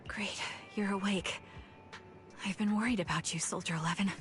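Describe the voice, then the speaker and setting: A woman playing a calm, caring soldier in a video game, composed and gently chiding her squad. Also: medium pitch, normal speed, soft background music, close to an everyday voice.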